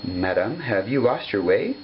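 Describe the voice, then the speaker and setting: A man reading a storybook, voicing a suave, sly gentleman fox with polite, formal, coaxing speech, on a dull home recording. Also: on the low side, sing-song, unhurried, slight background noise. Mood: courteous, smug. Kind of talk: storybook character voice.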